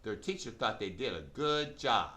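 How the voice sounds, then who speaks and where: Bright, animated male children's narrator. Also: mid-range voice, normal speed. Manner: simple, emphatic explaining to children. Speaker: puppet show narrator. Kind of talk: scripted children's educational narration.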